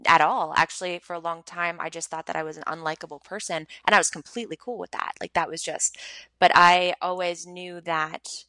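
A woman in her thirties from Montreal speaks as a podcast guest. Her voice is bright and forceful but sits a little low. She talks frankly and reflectively, pausing on ums and correcting herself, close on a dry mic. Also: fairly even intonation, no room sound, poor audio. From Montreal, Canada. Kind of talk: candid personal storytelling.

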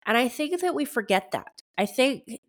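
A woman's voice: sits high, animated, normal speed. A woman in her thirties hosting a solo podcast, chatty and rambling, her voice stuffy from a head cold. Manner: chatty and rambling, with many fillers and restarts. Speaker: podcast host. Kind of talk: casual solo podcast talk.

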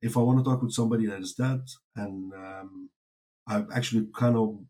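A man's voice: on the low side, fairly even intonation, brisk, mellow. A man whose first language is Dutch, answering interview questions in casual talk, with many fillers and restarts. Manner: rambling with many fillers and restarts, laughs easily. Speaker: interview guest.

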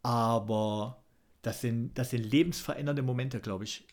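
A man's voice: mid-range voice, steady tone, measured pace. A middle-aged man chatting casually as a podcast co-host, often ending statements with a little questioning tag. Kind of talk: casual chat.

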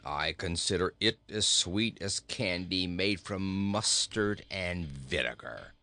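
A man voicing a surly talking goat who grumbles with sarcastic, deadpan scorn in a storybook reading. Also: deep voice, slow pace, no echo, faint music behind, voice pushed down, heavily acted. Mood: scornful. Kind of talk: storybook character voice.

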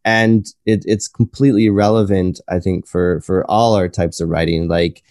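Enthusiastic male researcher, dark mellow voice, dry close-up sound. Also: deep voice, fairly even intonation, normal speed, dry recording. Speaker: academic researcher. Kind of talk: casual academic conversation.

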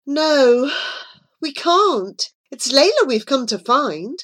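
Woman as an urgent, high-voiced young boy. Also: huge pitch swings, unhurried, a bit bright, full character voice. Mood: anxious, determined. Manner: urgent, pleading. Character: earnest, anxious blind boy on a quest.

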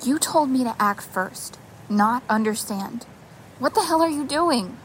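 A woman's voice: a little high, animated, a little slow, slight background noise, rough recording. A woman playing an anxious young survivor, hesitant, earnest and pleading, in an audio drama. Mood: scared, insistent.

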